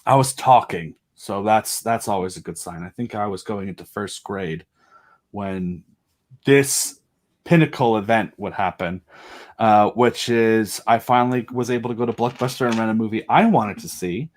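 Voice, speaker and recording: A man's voice: lowish voice, normal speed. Jokey man chatting as a podcast guest. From southern United States. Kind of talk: casual chat, telling personal stories.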